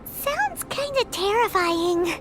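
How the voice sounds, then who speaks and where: A woman voicing a small, childlike fairy companion in a video game, girlish, expressive and wary, with a squeaky voice. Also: slow pace, heavy background noise, heavily acted. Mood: wary, questioning. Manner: refers to self in third person, expressive. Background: game music.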